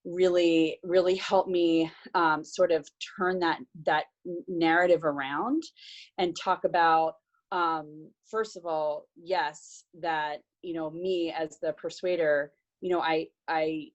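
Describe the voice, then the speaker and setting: Earnest low-voiced woman on a video call. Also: poor audio. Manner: hesitant, many fillers and restarts, earnest. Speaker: workshop participant. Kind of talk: casual recap on a video call.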